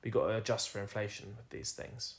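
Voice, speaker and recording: A man with a croaky voice, co-hosting a casual film review podcast and rambling through anecdotes with frequent ums and laughs. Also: fairly low pitch, brisk. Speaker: podcast co-host.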